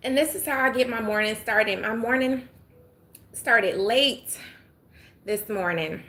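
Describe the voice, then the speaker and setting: A woman's voice: fairly high pitch, unhurried, sharp tone. Older Atlanta woman chatting on a livestream. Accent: Southern US. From Atlanta, Georgia. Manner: chatty, folksy, greets viewers, drops to a murmur at times. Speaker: livestream host. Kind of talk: casual livestream chat. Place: home room with sunlight. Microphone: phone.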